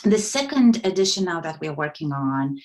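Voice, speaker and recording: A woman answers interview questions in a fairly low voice. She is earnest and enthusiastic, and her answers carry a lot of fillers. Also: sing-song, normal speed, darkish tone. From Kazakhstan. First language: Russian. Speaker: guest, organization founder.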